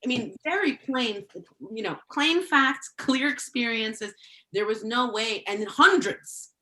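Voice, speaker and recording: A woman from El Salvador talking as a podcast guest, animated, with quick laughs and many "like" fillers. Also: a little high, reverberant, a little hiss or hum, low-quality recording. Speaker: guest, former education official. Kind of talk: casual podcast conversation.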